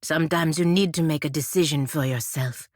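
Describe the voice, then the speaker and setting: A woman's voice: low-pitched, a little fast, dry recording, studio quality. A woman playing a proud, impatient godlike warrior in a video game, with a sardonic, cutting manner. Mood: dry, curt. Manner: disdainful, sardonic, clipped and cutting. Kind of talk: video game character dialogue.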